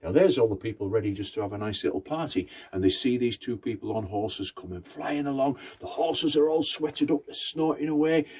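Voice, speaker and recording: A man's voice: medium pitch, animated, a little fast, few pauses, a little mellow, slightly rough. A man telling a folktale conversationally, in short phrases with rhythmic repetition. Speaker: Romani storyteller. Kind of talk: oral folktale storytelling.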